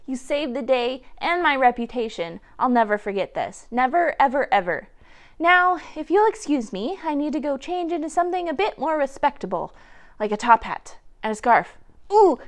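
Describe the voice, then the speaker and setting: A woman's voice: sits high, expressive, moderate pace. A woman voicing an anxious, socially awkward male bear in a storybook, rambling through flustered small talk and questions. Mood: relieved, grateful.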